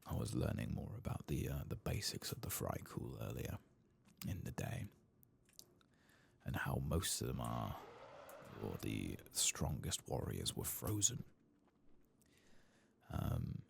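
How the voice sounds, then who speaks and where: A soft-spoken man doing whispered ASMR game commentary in a breathy, gravelly voice, musing in an unhurried, hesitant way. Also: extremely low pitch, big pitch swings, slight background noise. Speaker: gaming ASMR host.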